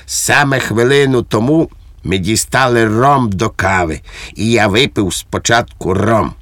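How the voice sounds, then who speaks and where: A man's voice: lowish voice, measured pace, a bit bright, no room sound, voice pushed up. A man voicing an unflappable, naively obedient common soldier who rambles earnestly in an audiobook. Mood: untroubled, earnest. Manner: earnest, untroubled, long-winded. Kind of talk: audiobook character acting.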